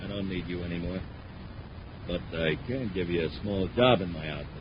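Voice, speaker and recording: An actor playing a crooked, gloating space freight boss, domineering and sly, in a 1950s radio serial. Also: male voice, low voice, brisk, close to an everyday voice. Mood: gloating, bossy. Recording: muffled, hissy 1950s radio broadcast.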